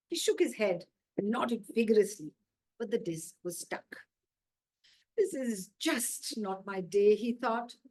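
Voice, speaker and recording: A woman with an Indian accent reading a children's story aloud expressively, as its author. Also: medium pitch.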